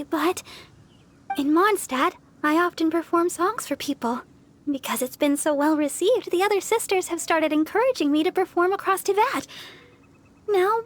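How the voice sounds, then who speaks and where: A sweet young church singer from a video game, with a very high girlish voice. She speaks gently and politely, with a modest, apologetic manner. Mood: shy, embarrassed. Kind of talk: video game character dialogue.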